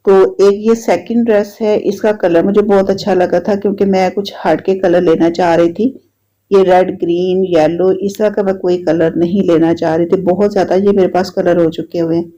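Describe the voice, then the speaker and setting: A woman with a Pakistani Urdu accent talks in an easygoing, rambling way, circling back to the same points as she narrates her home vlog. Her voice is mellow and warm, with a slight roughness from a sore throat. The recording sounds dull and lo-fi. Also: on the low side, moderate pace. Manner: chatty, repetitive, relaxed storytelling. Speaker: vlogger. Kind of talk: casual voice-over narration.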